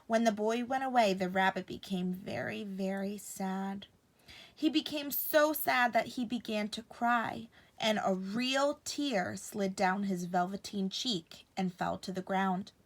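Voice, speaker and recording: A woman narrating a bedtime story for children in an expressive storytelling style. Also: mid-range voice, a little slow.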